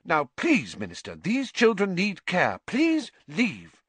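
Audiobook matron, acted by a man: bossy, protective, high and bright, indignant and brisk. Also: huge pitch swings, breathy edge, no room sound, full character voice. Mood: angry, insistent. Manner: stern, indignant, easily flustered.

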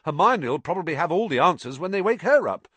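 A blunt, excitable teenage boy, as a male narrator puts him on, his voice pitched up and hurried. He pushes his questions out fast and eagerly. The sound is dry and close. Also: no room sound. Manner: quick, pushy.

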